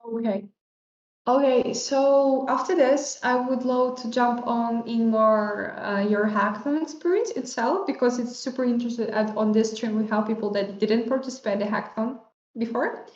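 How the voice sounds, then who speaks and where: A woman's voice: on the high side, normal speed, a little mellow. A woman hosting a casual interview over a video call, friendly and searching for words, with slightly non-native phrasing. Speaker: interview host. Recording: echoey, muffled video-call audio.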